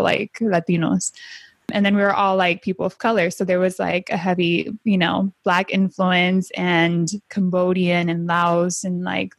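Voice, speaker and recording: A woman's voice: fairly low pitch, vocal fry, no echo. A young woman from San Diego with a Honduran family, talking casually and often saying "like". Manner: chatty, heavy use of "like", animated quoting. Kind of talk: casual chat.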